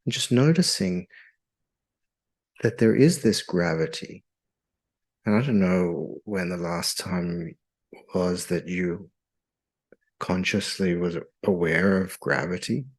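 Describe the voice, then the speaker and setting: A man leading a guided meditation in a soothing, hypnotic, measured way with long pauses. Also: low voice.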